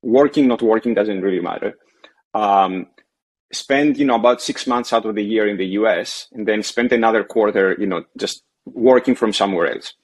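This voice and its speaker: A man's voice: fairly low pitch, normal speed. Hesitant Greek-born man in his thirties. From Greece. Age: 30s. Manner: hesitant, many fillers and restarts. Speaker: caller. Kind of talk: casual phone-in conversation.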